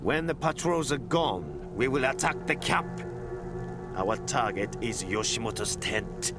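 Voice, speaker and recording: A man playing a determined samurai commander in a game cutscene, deliberate and commanding, with music behind him. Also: medium pitch, big pitch swings. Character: determined samurai commander laying out battle plan. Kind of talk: dramatic game cutscene acting. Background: cinematic music.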